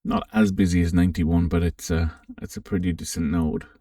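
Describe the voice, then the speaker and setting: A man giving a casual, unscripted software walkthrough, thinking aloud with some hesitation as he presents. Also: deep voice, fairly even intonation, a little fast, clean studio sound. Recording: roomy, slightly distant microphone.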